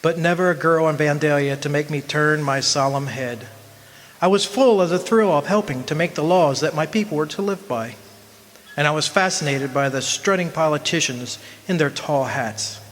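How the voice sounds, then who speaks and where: A man playing a wistful old soul in a radio drama, remembering his lost love in measured, poetic, solemn narration. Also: fairly high pitch, a bit bright, a little hiss or hum, close to an everyday voice. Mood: rueful. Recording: music behind the voice.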